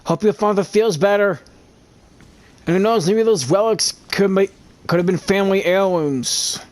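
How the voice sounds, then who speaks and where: A man's voice: sits high, normal speed, a little mellow. A man giving casual gameplay commentary and reading dialogue options aloud as he plays. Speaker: gamer, video creator.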